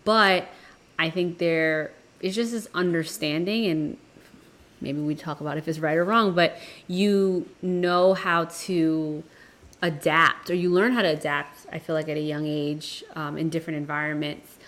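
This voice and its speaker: A woman co-hosting a casual debate podcast, laughing often and shifting between quick and drawn-out phrasing. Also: deep voice, fairly even intonation, a bit bright. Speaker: podcast co-host.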